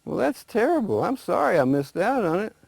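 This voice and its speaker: A gullible, eager alligator from a spoken folk tale, his voice leaping high and full of wonder, as a storyteller puts him on. The delivery is dry and close. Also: fairly quick, gravelly, husky, dry recording, heavily acted. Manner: excited, high-pitched and wondering.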